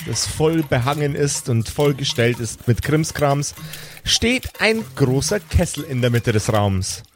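A man narrating a fantasy story as game master, with deliberate, dramatic phrasing and a suspenseful style. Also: mid-range voice.

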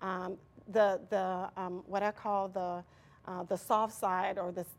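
A woman's voice: mid-range voice, flat delivery, unhurried. A woman answering as an interview guest in a conversational way, often searching for her words. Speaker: interview guest from an employment nonprofit.

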